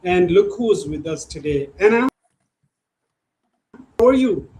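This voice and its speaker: A man hosting an interview show, asking questions haltingly and repeating words as he phrases them. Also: high voice, animated, dark tone. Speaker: show host. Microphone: remote video call. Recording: echoey room with faint background hum.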